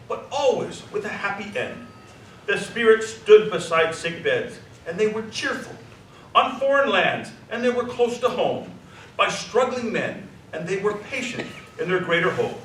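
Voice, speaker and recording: Casting: expressive male narrator for live radio play, animated, picked up from afar with faint noise. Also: high voice. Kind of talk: scripted storybook narration for live radio drama. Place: theater stage before live recording. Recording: echoey live stage, distant, faint noise.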